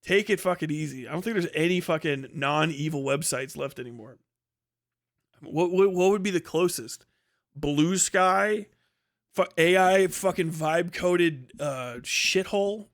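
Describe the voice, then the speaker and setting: A high-voiced man hosts a livestream, reading posts aloud and reacting to them. His commentary is casual, full of swearing, and delivered with open sarcasm and mockery. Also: a little slow. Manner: heavily profane, sarcastic, mocking. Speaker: livestream host.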